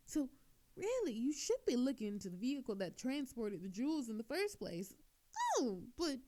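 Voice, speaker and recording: A woman's voice: a little high, a little mellow. A woman playing a smug, flirtatious master thief in an audio roleplay, with a teasing, coy and mock-innocent manner. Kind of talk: scripted audio roleplay monologue.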